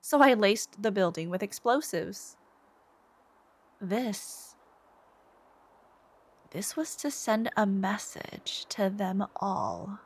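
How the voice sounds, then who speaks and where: A woman voicing an obsessive, devoted, soft-spoken supervillain in a scripted ASMR roleplay, intimate and menacing, with phrases trailing into whispers. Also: mid-range voice, lively intonation. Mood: coldly proud. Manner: slow, intimate, breathy sighs and whispered phrase endings.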